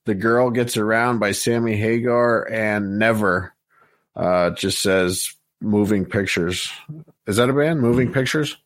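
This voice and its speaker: A man's voice: lowish voice, unhurried, no room sound. Man reading a track list, dry sound. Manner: plain and steady, with many "uh" fillers. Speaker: podcast host.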